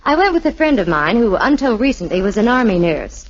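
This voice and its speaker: A woman's voice: a little high, very animated, fairly quick. A woman spokesperson in a scripted 1940s radio advertisement, telling anecdotes in chatty period style. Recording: dull 1940s radio recording.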